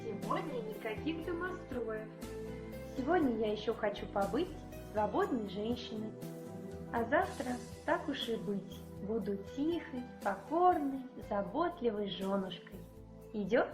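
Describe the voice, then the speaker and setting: An actress playing a loving, teasing wife who can turn strict, in a radio play. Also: female voice, fairly high pitch, sing-song, unhurried. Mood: coquettish. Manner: tender, teasing, mock-stern. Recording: roomy echo with music behind.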